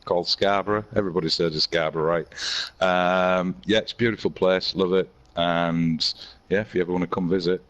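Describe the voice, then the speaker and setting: A hoarse man from England with a Yorkshire accent, chatting casually as an interview guest and laughing easily. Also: deep voice, steady tone, a little fast, fairly bright tone. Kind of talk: casual chat.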